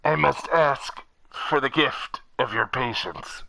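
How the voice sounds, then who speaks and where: A man voicing a solemn, troubled alien leader who speaks haltingly and pleads gravely, in a fan game read-through. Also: medium pitch, pushed and bright, no echo, exaggerated voice, rough recording. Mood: pleading.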